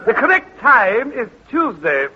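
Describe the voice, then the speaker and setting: A man's voice: very high voice, very animated, measured pace, slight background noise, pitched above the performer's own voice, full character voice. A man playing a stuffy British wireless announcer in a 1940s radio comedy sketch, with a pompous announcer delivery. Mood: grandly pompous. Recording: dull old radio recording.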